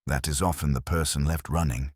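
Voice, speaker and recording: A man voicing a stout older male detective who speaks slowly and formally, handing down judgments. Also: a bass voice, steady tone, husky, no room sound, clean studio sound. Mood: measured. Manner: slow, formal, pronouncing judgments.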